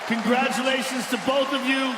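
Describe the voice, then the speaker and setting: A man interviewing a fighter at cage side, loud and excited as he shouts over the crowd. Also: very high-pitched, moderate pace. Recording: echoey arena with crowd noise.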